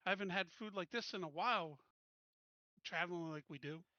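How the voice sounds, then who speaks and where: A man's voice: sits high, a little fast, pushed and bright, dry recording, muffled recording. A man playing a tabletop roleplaying game, chatting casually and thinking plans through aloud.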